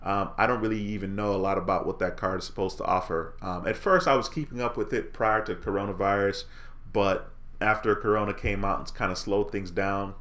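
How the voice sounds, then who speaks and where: Relaxed low-voiced man hosting a stream. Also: brisk. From Jamaica. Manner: relaxed, friendly, reads viewer comments aloud and answers. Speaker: live stream host. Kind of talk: casual live-stream chat reading comments.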